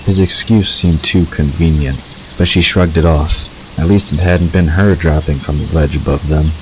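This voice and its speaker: A man's voice: rumbling low, fairly even intonation, moderate pace, a little mellow, a little hiss or hum, rough recording. A man reading scripted story narration as the narrator of an audio drama.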